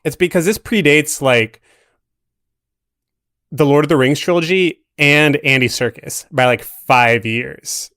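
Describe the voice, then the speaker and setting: A man's voice: a little high, a little slow, bright, forceful tone, dry recording, clean studio sound. A man speaking as a podcast guest in a casual, conversational discussion of film.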